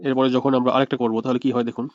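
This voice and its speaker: Very fast-talking man giving a tutorial. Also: mid-range voice, level tone, lo-fi sound, loud music behind the voice. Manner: explanatory, repeats points step by step. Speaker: video editing instructor. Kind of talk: tutorial screen-recording narration.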